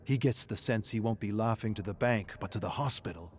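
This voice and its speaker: A man with a fairly low voice narrates a gritty story in the present tense. His delivery is theatrical and tense, with faint noise behind the reading. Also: fairly quick.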